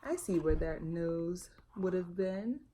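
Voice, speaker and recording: A woman's voice: fairly low pitch, expressive, takes it slowly, dark tone. A woman reading a children's picture book aloud, with chatty asides to her young listeners. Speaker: storytime reader.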